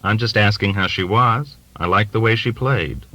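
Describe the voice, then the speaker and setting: A man's voice: lowish voice, moderate pace, sharp tone, no echo, lo-fi sound. A man voicing a polite, uneasy character in an audiobook, speaking plainly and tentatively. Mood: probing.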